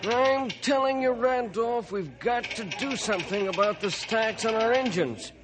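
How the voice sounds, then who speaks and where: An actor playing a worried, earnest railroad employee giving warnings in a 1950s radio drama. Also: male voice, extremely high pitch, unhurried. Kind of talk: 1950s radio adventure acting.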